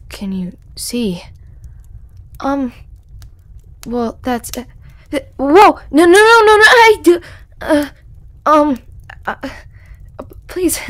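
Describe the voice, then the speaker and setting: A performer voicing a shy, hooded male storyteller, centuries old, who speaks softly with lots of hesitant stammering and whispers in an audio roleplay. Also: high voice, full character voice. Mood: panicked. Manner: hesitant and stammering, many ums and restarts; soft-spoken, often whispering.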